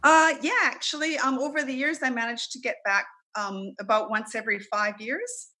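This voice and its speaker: A middle-aged woman talks in a bright, clear-edged voice. She chats loosely in a relaxed interview, filling pauses with ums and laughing easily. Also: on the high side. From Canada. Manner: chatty, with frequent ums and laughs. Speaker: interview guest. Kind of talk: casual conversational interview.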